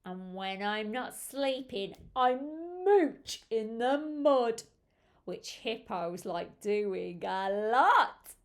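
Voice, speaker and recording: A woman voicing a big, happy, lazy hippo from a children's storybook, with a drawn-out sing-song delivery. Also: medium pitch, lively intonation. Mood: happy, contented.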